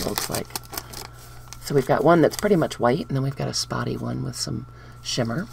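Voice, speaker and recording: Woman craft host, upbeat and chatty, gravelly voice, noisy home recording. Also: a bass voice. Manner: chatty, upbeat, talks to viewers while handling papers. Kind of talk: casual craft demonstration. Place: indoors at a wooden craft table.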